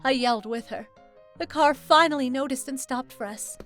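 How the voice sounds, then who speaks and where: A woman earnestly narrating her own story in the first person, with soft music behind her. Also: sits high, moderate pace. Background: soft background music.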